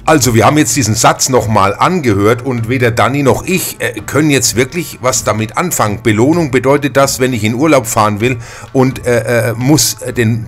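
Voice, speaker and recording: A man's voice: medium pitch, fairly bright tone. Male podcast host over music. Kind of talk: casual podcast discussion. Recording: music playing behind the voice. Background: background music.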